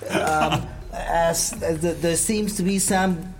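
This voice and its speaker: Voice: mid-range voice, steady tone, slow pace, slight background noise, close to an everyday voice. Swiss-accented commander, a player voicing him. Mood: questioning. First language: not English. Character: male military commander overseeing ninja trainees. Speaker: commander character. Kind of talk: tabletop roleplay in character.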